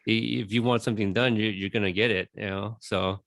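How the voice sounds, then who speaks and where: Man interviewer, low gravelly voice, friendly, filler-heavy, dry sound. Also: steady tone, fairly quick, fairly bright tone, no echo. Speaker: podcast interviewer. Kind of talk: casual interview questions.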